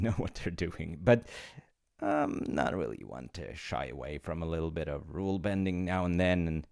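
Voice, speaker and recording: A man performs a chummy supernatural clerk of the afterlife for a comedic audio roleplay. The voice is low and creaky, swinging freely in pitch. He pitches deals in loose, hesitant, informal patter and bends rules like an old pal. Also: full character voice. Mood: wry, reluctant. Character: chummy, rule-bending afterlife reincarnation agent, male supernatural being.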